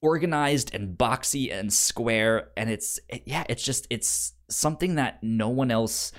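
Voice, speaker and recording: A man co-hosting a casual film discussion, speaking haltingly and often repeating words and syllables. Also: medium pitch. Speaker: film podcast co-host.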